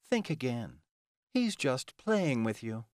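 A man's voice: on the high side, sing-song, measured pace, dry recording, clean studio sound, voice pushed up. A man voicing a scornful, taunting female vampire in an audiobook, with a bitter, insulting manner. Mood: snarling.

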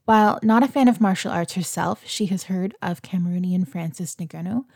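A woman reads a feature article aloud, her voice sitting low and steady. The pace is even and measured. It is recorded close and dry, with no room sound at all. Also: creaky, dry recording. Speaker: reader of a magazine article.

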